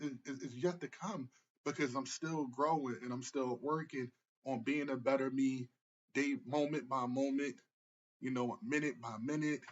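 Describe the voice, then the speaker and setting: A man in his early forties speaks in a fairly high, husky voice, giving a loose motivational talk. He circles back on his points and keeps checking in with the listener. The recording sounds dull and lo-fi. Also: a bit bright. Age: 40s. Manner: casual, repetitive, tag questions. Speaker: therapist. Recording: muffled lo-fi recording.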